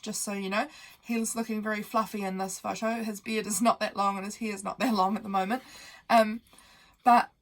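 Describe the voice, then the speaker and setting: A young woman who sounds nasal from a cold, hosting a casual, meandering craft tutorial full of fillers while she works at her desk. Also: a little high.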